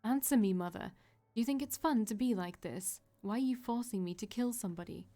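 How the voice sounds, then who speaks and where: A woman's voice: a little high, brisk, warm tone, lightly acted. A woman voicing a desperate, broken young woman who pleads through tears. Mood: pleading. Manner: pleading, tearful.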